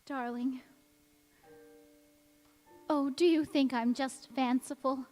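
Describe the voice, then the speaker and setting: A woman plays an anguished, devoted young wife in a radio drama. Her voice sits fairly high and moves very slowly, with earnest melodrama. It rings through a reverberant hall with music behind. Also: steady tone, a little mellow. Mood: anguished, pleading. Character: anguished, devoted young-looking wife cursed never to age. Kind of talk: stage radio-play acting. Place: large reverberant church or hall.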